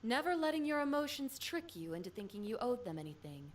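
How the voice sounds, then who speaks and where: A woman's voice: a little high, very animated, a little fast. A woman voicing a jaded, vain school official in her late thirties in a visual novel, wry, knowing and frank. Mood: wry, resigned.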